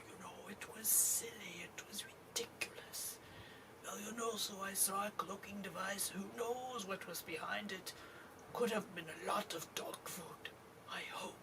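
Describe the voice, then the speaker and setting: A woman as a slow, whispering puppet dog. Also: medium pitch. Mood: amazed, scoffing. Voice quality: breathy, whispery. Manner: halting, repetitive "you know", storytelling. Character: furry puppet dog, earnest, wide-eyed flying saucer witness. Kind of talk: soft-spoken ASMR roleplay, improvised narrative. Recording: noisy, distant hissy home recording.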